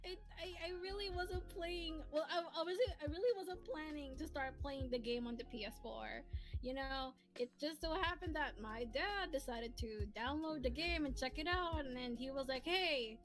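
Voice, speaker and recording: A woman's voice: high voice, moderate pace. A woman hosting a casual livestream, chatting in a giggly, rambling way and repeating the starts of her phrases. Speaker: streamer and host, comic dub maker. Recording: soft music playing behind the voice. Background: soft lofi music.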